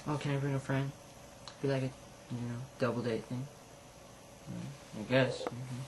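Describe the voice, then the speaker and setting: Slow, halting amateur puppeteer in noisy recording. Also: mid-range voice, flat delivery, vocal fry. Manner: halting, swearing. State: tired. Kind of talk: improvised amateur puppet show dialogue. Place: friend's house late at night. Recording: noisy homemade recording with clicks.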